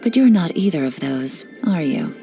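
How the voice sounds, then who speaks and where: A sly, teasing game spy, low voice, relaxed and in control, faint music under it. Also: female voice, moderate pace. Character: cool, teasing female spy, sly and unhurried. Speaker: game character voice lines. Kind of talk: studio game voice-over.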